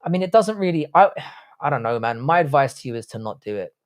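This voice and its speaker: A man's voice: medium pitch, lively intonation, fast. A young man hosting a live stream, reading chat messages and answering in blunt, jokey, crude banter. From Ireland, grew up in the UK. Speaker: livestream host reading chat.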